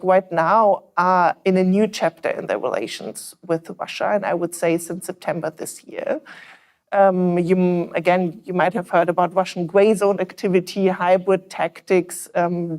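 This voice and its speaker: A woman with a German accent giving analytical remarks on a panel, earnest and measured, with some fillers and restarts. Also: fairly low pitch, a little mellow.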